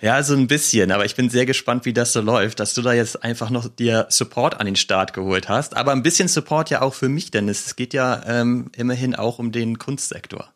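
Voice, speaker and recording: A man, co-hosting a casual podcast and reading the intro and disclaimer in an upbeat, relaxed way. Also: medium pitch, fairly quick, few pauses. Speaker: podcast co-host.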